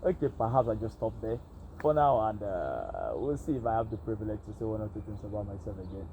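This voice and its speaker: A man's voice: on the low side, big pitch swings, a little fast, mellow. Reflective Nigerian man, noisy background. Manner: hesitant, reflective, many fillers. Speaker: vlogger. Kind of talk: casual self-interview monologue.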